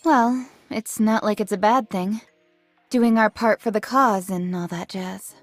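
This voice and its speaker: A woman voicing a calm, level-headed young female soldier in a video game, composed and matter-of-fact. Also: medium pitch, unhurried, faint music behind, lightly acted. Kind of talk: English video game voice acting.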